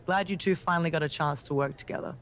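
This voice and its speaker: Woman acting as female wrestling villain, low cool voice, menacing, self-assured, game cutscene, faint background noise. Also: fast talker, close to an everyday voice.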